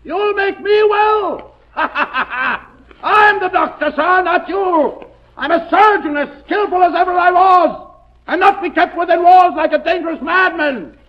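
Cornered mad surgeon for a radio thriller: very high voice, imperious, theatrical, dull vintage sound. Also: male voice, measured pace. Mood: haughty, defiant. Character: mad, defiant former surgeon, cornered male villain. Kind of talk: 1940s radio acting. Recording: muffled vintage 1940s radio recording.

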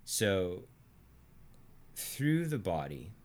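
An American man from Arkansas teaching theology conversationally, speaking deliberately and emphatically with pauses for effect. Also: deep voice, very animated. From Arkansas, United States. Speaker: teacher and co-host of a theology series.

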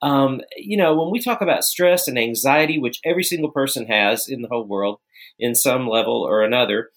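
A man's voice: mid-range voice, a little fast, fairly bright tone. A man co-hosting a podcast who laughs often and rambles with fillers. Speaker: podcast co-host, works with recovering people. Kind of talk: podcast conversation.

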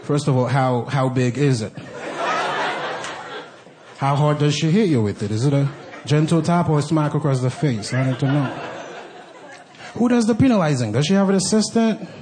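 Stand-up comic, Haitian man, accented English, lively rising and falling delivery, slightly high voice, live crowd laughing. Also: normal speed, pauses often, noisy background. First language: Haitian Creole. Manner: animated, acts out other voices with big pitch jumps. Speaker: stand-up comedian. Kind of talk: stand-up comedy set. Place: comedy club stage with a laughing audience. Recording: live club audience laughter.

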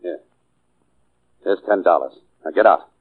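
An actor playing a gruff, menacing lodger in an old-time radio drama, speaking curtly. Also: male voice, low-pitched, unhurried, phone-quality sound. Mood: angry, threatening. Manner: curt, gruff. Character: surly, menacing male boarding-house lodger, tender only to cats. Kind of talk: 1940s radio acting.

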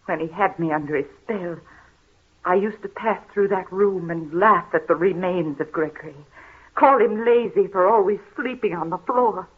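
An actress playing a frightened, tormented heiress in a 1930s gothic radio mystery, with halting, dramatic delivery. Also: female voice, on the low side, slightly rough. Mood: haunted, subdued. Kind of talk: 1930s old time radio acting. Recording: muffled, scratchy 1930s radio recording.

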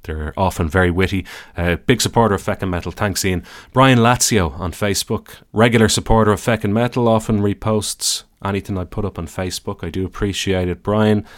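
A man's voice: lowish voice, fairly quick, no room sound. A man with an Irish accent and a soothing voice, hosting a podcast in an easygoing, rambling monologue with dry, self-deprecating humour. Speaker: podcast host. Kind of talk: casual solo podcast monologue.

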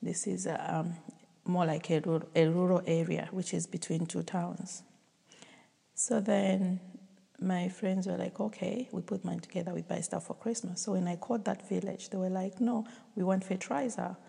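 A woman from Zambia telling her story in a casual interview, pausing often with ums and uhs. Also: on the low side, steady tone, creaky, warm tone, husky. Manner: hesitant, many ums and uhs, quotes herself. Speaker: charity founder, guest. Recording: long hall reverb.

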